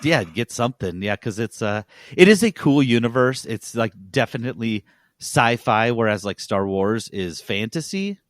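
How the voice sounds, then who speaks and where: A man's voice: medium pitch, lively intonation, normal speed, no room sound, studio quality. A man hosting a podcast in casual chat, speaking with enthusiasm and emphasis. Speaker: podcast host.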